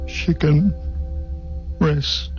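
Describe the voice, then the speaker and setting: An actor playing a frail, haunted old father in a radio drama, talking quietly, slowly and mournfully. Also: a little high, fairly even intonation. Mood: solemn. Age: older. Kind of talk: 1970s radio drama acting. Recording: muffled old radio broadcast with loud music.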